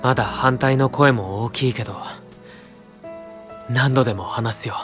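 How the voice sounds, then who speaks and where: A man playing a sincere, patient young knight leader, speaking gently and plainly with a husky voice in an anime audio drama. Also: mid-range voice, measured pace, music in the background, natural voice. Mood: earnest. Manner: gentle, earnest, plain-spoken.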